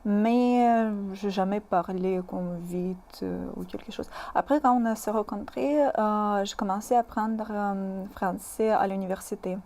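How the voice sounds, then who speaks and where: A woman from Moscou in her thirties with a Russian accent, speaking French as a second language. She is a guest in a casual interview and talks hesitantly, with many fillers and pauses. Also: medium pitch, darkish tone, slight background noise. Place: community TV studio.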